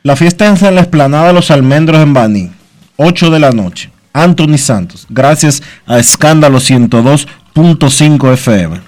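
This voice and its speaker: A man's voice: fairly high pitch, animated, normal speed, a little mellow. A man co-hosts a casual radio show, his voice congested with flu. He speaks in an informative way, reading out numbers and announcements plainly. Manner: informative, reads out figures. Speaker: radio co-host.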